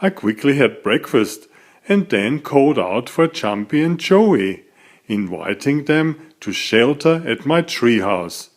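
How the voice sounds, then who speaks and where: A man reading a children's story aloud as its author, in an expressive, deliberate storytelling manner. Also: medium pitch.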